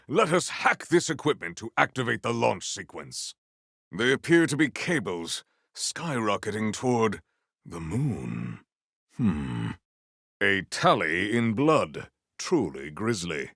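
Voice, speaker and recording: Deep, deliberate warrior. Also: male voice, wildly swooping pitch, creaky, fairly bright tone, slightly rough, no room sound, clean studio sound, heavily acted. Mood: solemn, measured. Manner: formal, measured, ceremonious diction, few contractions. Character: stern formal male warrior, proud, battle-hungry, grave and eloquent. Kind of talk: video game character voice lines.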